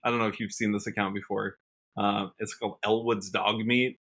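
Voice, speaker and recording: A man's voice: lowish voice, flat delivery, fairly quick, fairly bright tone. An American man chatting casually as a guest on a podcast, earnest and enthusiastic in the way he talks. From United States, Washington state. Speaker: podcast guest, country musician.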